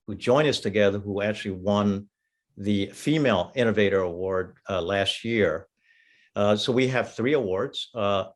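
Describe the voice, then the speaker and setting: A man from Vietnam speaks in a fairly low voice as he presents at an online seminar. He explains things in a measured way and puts weight on his key points, like a council member addressing colleagues. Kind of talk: prepared webinar presentation.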